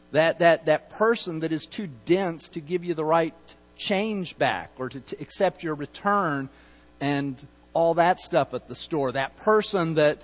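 A middle-aged man with a light Texas accent, originally from Utah, preaching a sermon with emphatic delivery, folksy asides and repeated words. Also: a little high. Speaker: pastor. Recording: long hall reverb.

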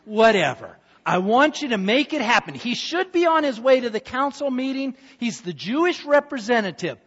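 A man voicing a vain, forgetful, self-important ancient king who booms and rushes his words. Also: very animated, fairly bright tone, breathy edge, heavily acted. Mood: commanding. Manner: booming, impatient, self-important. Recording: dull reverberant hall sound.

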